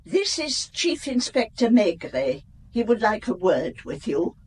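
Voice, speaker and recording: An actress playing a gracious, composed elderly widowed princess in a radio drama, formal and measured in manner. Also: female voice, fairly high pitch, very animated, darkish tone, slightly rough, exaggerated voice. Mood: gracious, restrained.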